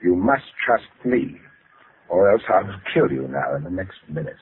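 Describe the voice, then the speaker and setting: An actor in an old radio drama playing a cold, controlling father who threatens in a formal, measured way. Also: low voice, very animated. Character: cold, controlling father calmly blackmailing at gunpoint. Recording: noisy, tinny old radio recording.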